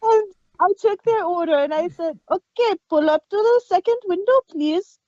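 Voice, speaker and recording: A woman's voice: very high voice, moderate pace, dark tone. A woman hosting a show, chatting casually and telling anecdotes on a dull, lo-fi recording. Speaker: host of an audio drama review.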